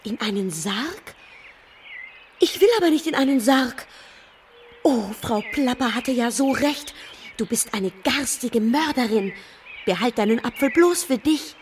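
An actress playing a naive, pert young princess in a children's radio play. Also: female voice, on the high side, expressive, unhurried, distant mic, a little hiss or hum. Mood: defiant.

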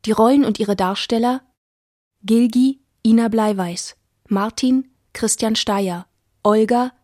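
A woman announcer reading scripted radio drama credits in a formal, measured way. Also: mid-range voice, a little mellow, no room sound, clean studio sound.

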